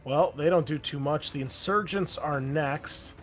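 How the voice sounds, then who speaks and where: A man's voice: fairly high pitch, a little slow, fairly bright tone, slight background noise. Easygoing male game master. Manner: easygoing, laughs often, many ums and restarts. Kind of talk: running a tabletop game online.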